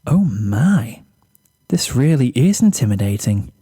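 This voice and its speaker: A man voicing a gentle, sweet female pegasus pony, pleased with herself, in a storybook reading. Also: mid-range voice, very animated, a little slow, breathy edge. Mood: pleased, awed.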